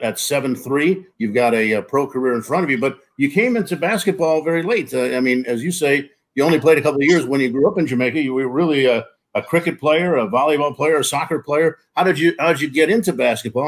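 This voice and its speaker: Host guy, steady intro, lots of uh's. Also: mid-range voice. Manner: measured, "uh" fillers. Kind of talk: interview question with introduction.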